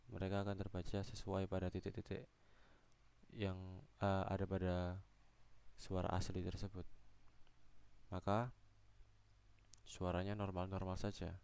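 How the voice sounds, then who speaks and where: Low, gravelly young man presenting. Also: darkish tone. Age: young adult. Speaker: student presenter. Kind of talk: explanatory practicum presentation.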